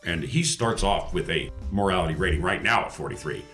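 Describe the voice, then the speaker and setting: A man's voice: deep voice, expressive, a little fast, fairly bright tone, soft background music. A man chatting casually as a player on a tabletop roleplaying podcast. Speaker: player on an actual-play podcast. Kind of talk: casual tabletop game chat.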